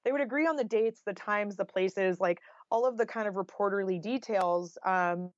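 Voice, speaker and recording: A woman's voice: medium pitch, moderate pace, dry recording, muffled recording. A woman author talking as an interview guest in a casual, thoughtful way, often dropping in "like" and "you know". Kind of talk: casual, reflective interview talk.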